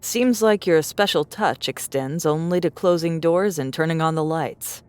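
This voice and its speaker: Actress as a bold, lower-voiced, wry woman explorer. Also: moderate pace, darkish tone, smooth, natural voice. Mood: teasing. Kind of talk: audiobook character acting.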